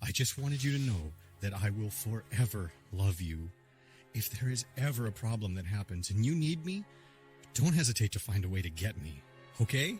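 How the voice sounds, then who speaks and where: A man's voice: on the low side, big pitch swings, moderate pace, darkish tone, faint music behind. A man playing a tender, grieving adoptive father, narrating in the first person in an earnest, heartfelt way. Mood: loving.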